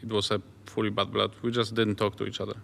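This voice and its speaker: Gravelly low male voice, reverberant room. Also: quick pace, slight background noise. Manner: hesitant, relaxed, many fillers. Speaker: pro player. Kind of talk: casual esports roundtable chat.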